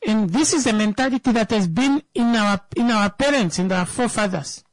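A woman's voice: fairly high pitch, animated, a little fast, dry recording. A woman, giving an emphatic opinion talk that turns into a personal story, repeating words for stress.

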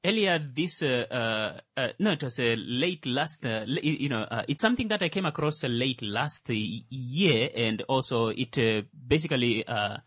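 A man co-hosting a casual talk show, explaining slowly with many fillers and restarts. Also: medium pitch, lively intonation, a bit bright, slightly rough, no room sound, studio quality. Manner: hesitant, full of "uh" and restarts. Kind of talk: casual panel discussion.

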